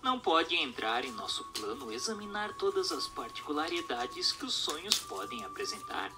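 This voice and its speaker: Expressive male narrator, higher-pitched. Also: normal speed. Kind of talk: scripted audiobook-style narration of a spiritist text. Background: music.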